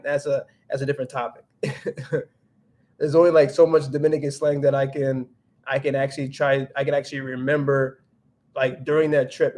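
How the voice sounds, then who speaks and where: A man's voice: mid-range voice, a little mellow. Rambling young man on a livestream. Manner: rambling with frequent restarts and "like". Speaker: livestream host reading chat. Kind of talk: casual livestream chat, mixing English and Spanish.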